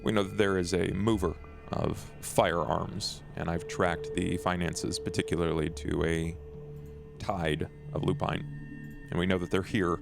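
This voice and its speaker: A man playing a courteous, calculating vampire envoy in a tabletop game, with a gravelly voice and measured, formal delivery. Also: low voice, level tone, over music.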